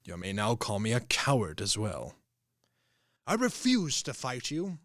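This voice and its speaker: A man's voice: mid-range voice, wildly swooping pitch, a little slow, fairly bright tone, no room sound, clean studio sound. A man acting a hot-blooded, grandly disillusioned young army officer in a radio play, in a grandiose, declamatory manner. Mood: defiant, proud.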